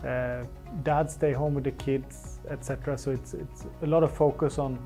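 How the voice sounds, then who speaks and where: Mellow man, hesitant. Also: medium pitch, moderate pace, soft background music. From Sweden. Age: 40s. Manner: hesitant, relaxed, with fillers. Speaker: interview guest.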